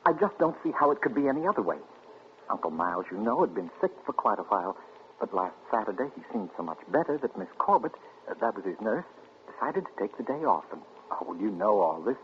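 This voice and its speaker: An actor with an American accent playing an anxious, grief-stricken man in 1940s radio suspense, urgent, halting and pleading. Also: on the low side, very animated. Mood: grave, troubled. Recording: scratchy, muffled 1940s radio recording.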